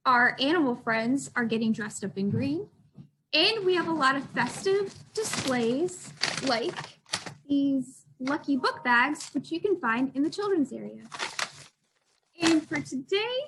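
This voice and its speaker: A woman's voice: high-pitched, a bit bright, a little hiss or hum, poor audio. A woman librarian reading aloud for a children's storytime, expressively addressing young listeners.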